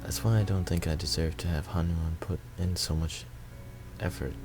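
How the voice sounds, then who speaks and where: A man's voice: sits low, steady tone, normal speed, music in the background. A man reading first-person visual novel narration aloud.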